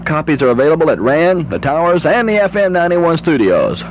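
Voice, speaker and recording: A man's voice: fairly high pitch, very animated, fairly quick. A man reading a comic news bulletin as a college radio disc jockey, with theatrical joke-telling delivery. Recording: noisy 1970s radio aircheck tape.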